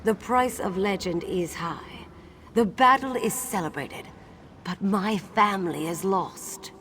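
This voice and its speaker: A woman voicing the wistful spirit of a legendary warrior maiden in a fantasy game, telling her tale in a solemn, measured way. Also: mid-range voice, a bit bright, slight background noise. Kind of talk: scripted fantasy game acting.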